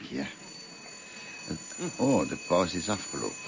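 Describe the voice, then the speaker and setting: Gentle elderly teacher, low, swooping intonation. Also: male voice, very animated, measured pace, creaky, full character voice. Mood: courteous, hesitant. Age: older. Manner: formal, courteous, hesitant. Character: gentle lonely elderly male French teacher, courteous, wistful. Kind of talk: radio drama acting. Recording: noisy old radio play recording.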